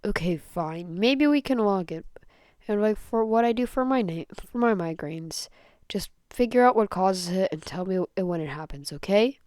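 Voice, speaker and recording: A blunt but tender teenage boy played by a woman reading aloud, steady and protective. The delivery is casual and firm, for an audio story, recorded very dry. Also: mid-range voice, moderate pace, no echo, close to an everyday voice. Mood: resigned, caring. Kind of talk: dramatised fan fiction reading.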